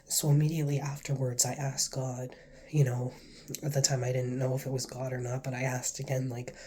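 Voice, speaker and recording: Earnest male vlogger with a head cold, stuffy and mellow, telling his story. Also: mid-range voice, flat delivery, fairly quick. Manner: earnest, rambling, heavy with fillers. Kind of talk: casual spoken testimony to camera.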